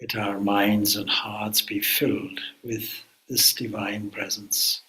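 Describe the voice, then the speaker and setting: Slow, calm man guiding meditation. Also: fairly low pitch, rough recording. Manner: calm, unhurried, with long pauses. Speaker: swami leading meditation.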